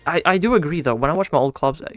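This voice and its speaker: A man's voice: a little high, very fast, a bit bright, faint music behind. A man from the Netherlands with a Dutch accent, chatting casually on a live stream and rambling in a self-deprecating way. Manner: rambling, self-deprecating, thinks aloud with restarts.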